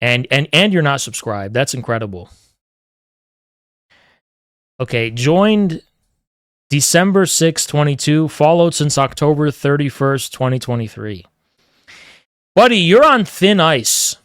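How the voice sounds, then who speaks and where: Guy streaming, kinda high voice, rambles and ribs his chat, dead dry sound. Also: animated, a little slow, pauses often, no room sound. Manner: rambling, opinionated, teasing chat. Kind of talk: casual live-stream talk with chat.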